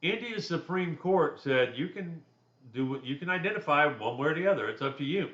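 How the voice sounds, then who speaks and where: A man with a deep voice talks through a class chapter in an easygoing, conversational lecture, dropping in personal asides. The recording is slightly dull and muffled, with a noticeably roomy echo around him. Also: expressive, brisk, a bit bright. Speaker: instructor.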